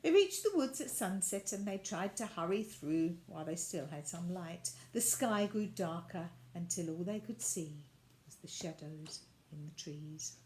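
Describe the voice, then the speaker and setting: A woman reading a children's story aloud as an expressive storyteller. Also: on the low side, unhurried, low-quality recording.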